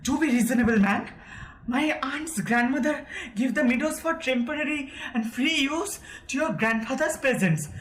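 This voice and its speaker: A man's voice: very high-pitched, lively intonation, moderate pace, fairly bright tone, reverberant, a little hiss or hum. A young man, a student actor playing a touchy, hypochondriac landowner who splutters and stammers in an amateur audio drama. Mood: indignant. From India. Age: young adult.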